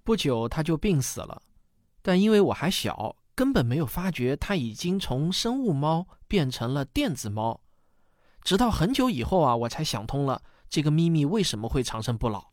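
A kind patient dad in an audio drama, animated explaining, close dry sound. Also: male voice, fairly high pitch, normal speed, no echo. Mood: reminiscing. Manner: warm storytelling, patient explaining. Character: patient, kindly father explaining family history to his daughter. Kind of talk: radio drama acting.

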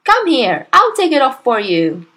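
A woman's voice: high-pitched, sing-song, normal speed, a bit bright, pitched above the performer's own voice, heavily acted. A woman voicing a bossy, scornful wife and mother in a storybook, scolding and nagging. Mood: impatient.

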